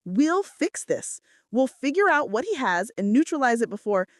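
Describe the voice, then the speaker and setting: A woman's voice: high voice, big pitch swings, normal speed, no room sound, studio quality, pitched above the performer's own voice. A woman playing a defiant, loyal female assistant in emphatic, outspoken romance audiobook dialogue. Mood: firm, loyal.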